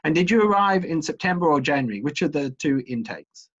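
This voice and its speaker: A middle-aged man, an associate dean, interviewing a student in a relaxed way with many ums and uhs. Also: a little high, a little fast, highs cut off.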